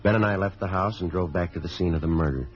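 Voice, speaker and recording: An actor playing a calm, weary police sergeant who narrates his case in a clipped, deadpan 1950s radio drama style. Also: deep voice, quick pace, lightly acted. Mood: flat, businesslike. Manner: clipped, deadpan, matter-of-fact. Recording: dull vintage radio recording with faint music.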